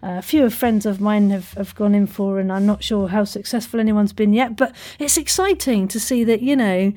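A woman's voice: mid-range voice, normal speed, mellow. A woman hosting a podcast, chatting to guests and listeners in an effusive, playful way full of wordplay. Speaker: podcast host. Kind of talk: chatty interview and sign-off to listeners.

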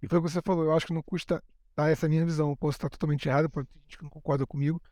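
A man's voice: fairly high pitch, flat delivery, very fast, a little mellow, no room sound, studio quality. A man from Brazil with a Brazilian accent chats casually as a podcast co-host. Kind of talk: casual chat.

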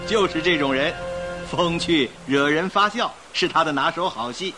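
An actor playing a suave, confident young gentleman with an emphatic, persuasive delivery in a Chinese radio drama. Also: a little high, moderate pace, natural voice. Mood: admiring, animated. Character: suave confident young gentleman passenger, admiring a famous thief. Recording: radio drama with faint music behind.

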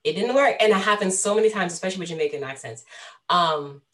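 A woman's voice: on the low side, fast talker, fairly bright tone. A young woman in a casual chat with friends, voicing thoughtful opinions. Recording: voice a bit distant from mic.

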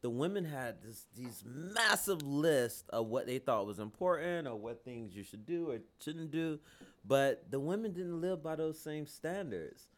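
A man's voice: on the high side, animated, measured pace. A man hosting a casual panel chat, asking the guests probing questions.

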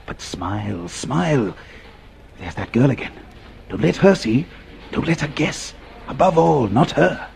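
Scolding inner voice, radio drama, crackly creaky tone, very animated, bit of noise behind. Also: male voice, mid-range voice, a little slow, pauses often, heavily acted. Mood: urgent warning. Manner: urgent, sardonic, confiding. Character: sardonic inner voice of a fearful man, warning and scolding. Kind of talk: radio drama acting, confiding monologue.

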